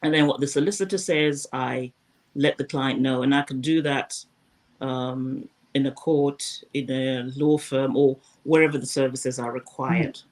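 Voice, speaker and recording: Older woman, measured talk. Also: rumbling low, fairly even intonation, darkish tone, poor audio. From Zimbabwe. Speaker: interview guest, former news presenter. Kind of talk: casual interview conversation.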